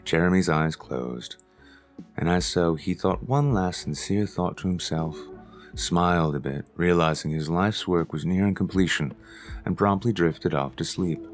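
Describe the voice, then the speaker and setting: Male narrator, low voice, measured audiobook read with soft music underneath.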